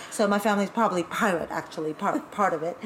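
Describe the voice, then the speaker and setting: A woman from Taiwan who grew up speaking Taiwanese, talking as a guest in a casual interview, animated and chatty with laughing asides. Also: mid-range voice, brisk, slight background noise, poor audio. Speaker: guest, burlesque performer.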